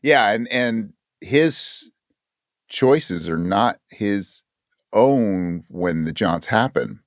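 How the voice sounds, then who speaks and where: A man's voice: lowish voice, animated, extremely slow, no room sound, studio quality. A man talking as an interview guest in a casual, reflective way, thoughtfully rephrasing as he goes. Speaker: interview guest, audio drama creator.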